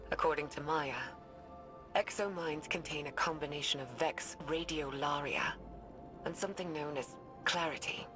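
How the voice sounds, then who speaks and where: An actress playing an earnest, weary scientist recording a game audio log in a thoughtful, measured way with hushed phrase endings. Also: female voice, low voice, close to an everyday voice. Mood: absorbed, explanatory. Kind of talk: confessional audio log, dramatic game acting. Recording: music behind the voice.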